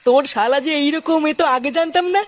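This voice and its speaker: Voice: high-pitched, quick pace, a little hiss or hum, natural voice. A performer voicing a brash, scheming young village man who trades teasing banter in a comic cartoon.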